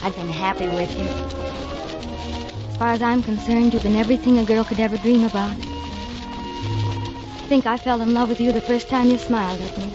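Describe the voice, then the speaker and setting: Lovelorn high-voiced heroine of vintage radio drama. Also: female voice, wildly swooping pitch, moderate pace, long pauses. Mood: adoring. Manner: sentimental, earnest. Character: lovelorn, wistful young woman in a romance. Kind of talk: 1940s radio drama acting. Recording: dull vintage radio recording, music behind.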